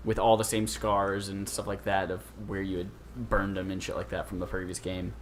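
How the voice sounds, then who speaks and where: A man's voice: deep voice, completely flat delivery, a little fast. A man co-hosting a podcast, running casually through video game news items. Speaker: podcast co-host.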